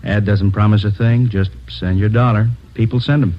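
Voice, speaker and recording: A man's voice: fairly low pitch, fairly even intonation, moderate pace, lightly acted. A man acting a matter-of-fact police detective in a 1950s radio drama, casual and clipped. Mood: brisk. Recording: muffled, noisy old radio recording.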